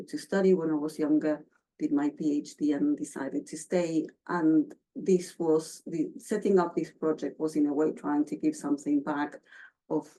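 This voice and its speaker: Low-voiced Argentine woman presenting research. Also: mellow, poor audio. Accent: Argentine Spanish. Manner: halting, many fillers and restarts. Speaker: researcher giving a seminar. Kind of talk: academic presentation.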